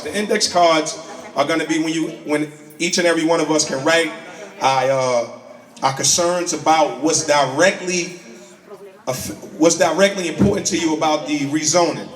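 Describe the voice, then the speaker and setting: A man moderates a community town hall in an informal, halting way and often restarts his sentences. His voice sits slightly high. It sounds distant and echoey, like a mic far across a large room. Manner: loose, halting explanation with restarts. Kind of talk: informal address to an audience.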